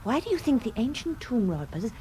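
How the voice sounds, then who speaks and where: A clever, assured lady in a radio play. Her voice is lively and swooping as she lectures with confidence. There is noticeable noise behind her. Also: fairly high pitch, fairly quick. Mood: confident, insistent. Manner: insistent, didactic, confident. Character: assured, clever lady explaining tomb mysteries. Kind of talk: radio drama acting.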